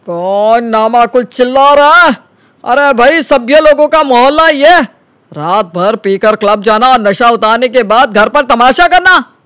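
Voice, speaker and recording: A man performs a middle-aged father from an animated story, stern and grumbling. The voice is pitched high, fussy and bossy, recorded dry. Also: unhurried, clear, resonant tone, dry recording, exaggerated voice. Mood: irritated. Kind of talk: animated Hindi story character acting.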